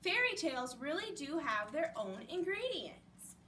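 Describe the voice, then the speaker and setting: A woman's voice: sits high, sing-song, measured pace, sharp tone, full character voice. A woman voicing an earnest, eager male amateur cook in a children's storybook. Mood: dismayed. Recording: distant mic with background noise.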